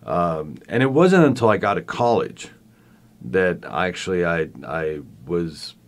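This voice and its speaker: A man in his fifties from Argentina, raised in Venezuela, with a Venezuelan Spanish accent, talking as a podcast guest in a thoughtful way, with many pauses and restarts. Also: sits low, animated. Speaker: guest, professor. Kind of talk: casual podcast interview.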